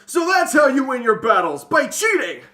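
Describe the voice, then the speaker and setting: A man's voice: very high voice, wildly swooping pitch, normal speed, sharp tone, voice pushed up, full character voice. A man voicing a proud, determined warrior hero with earnest, declamatory delivery in a fantasy reading. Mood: indignant. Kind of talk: amateur fantasy reader's theater.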